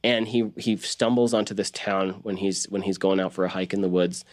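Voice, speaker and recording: A man talking casually in an interview as a voice actor, halting and repeating words as he explains. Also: sits low, steady tone, no echo.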